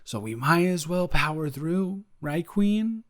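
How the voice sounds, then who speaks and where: A man's voice: a little high, lively intonation, slow pace, a bit bright. A man improvising an audio roleplay as a flustered, evasive roommate who talks straight to the listener, stammering and joking as he rambles. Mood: playful, teasing. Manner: rambling, stammering, self-interrupting, playful.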